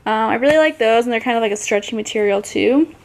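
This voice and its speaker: A woman's voice: a little high, fast, gravelly, poor audio. A young woman chatting informally and giggly in a casual get-ready-with-me vlog. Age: young adult. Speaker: vlogger. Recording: faint background noise.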